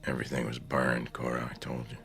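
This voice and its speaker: An actor playing a blunt, insistent, middle-aged small-town husband, stern and practical, in an old-time radio drama. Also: male voice, very deep voice, flat delivery, fast, creaky, a bit bright, soft background music, heavily acted. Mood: matter-of-fact.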